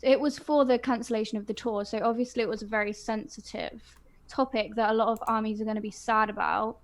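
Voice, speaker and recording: A woman's voice: on the high side, fairly even intonation, fast talker. A woman co-hosting a casual fan podcast, talking enthusiastically with lots of fillers. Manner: enthusiastic, gushing, frequent fillers. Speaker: podcast co-host.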